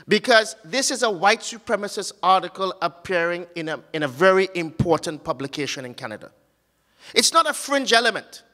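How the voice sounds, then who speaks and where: A man giving an academic conference talk with slides, earnest and halting, often pausing on fillers and restarting his sentences. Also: high-pitched, lively intonation, pushed and bright, in a large hall. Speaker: conference speaker. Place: conference hall.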